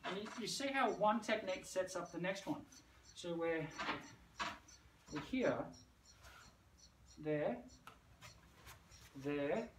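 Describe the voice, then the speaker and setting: A man's voice: sits high, expressive, slow pace, long pauses. Middle aged guy teaching martial arts moves, playful and active, far from the mic on a porch, noisy. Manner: playful, punctuating moves with shouted sound words; physically active while talking. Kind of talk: hands-on demonstration, casual teaching. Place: covered brick veranda outdoors. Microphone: camera several metres away. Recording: distant camera mic, roomy, noisy.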